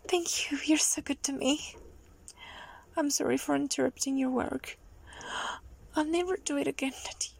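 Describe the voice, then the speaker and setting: A young adult woman performing a solo audio roleplay in a soft, breathy, pleading manner, often dropping into a whisper. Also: high voice, fairly even intonation. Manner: slow, drawn-out delivery with frequent whispering.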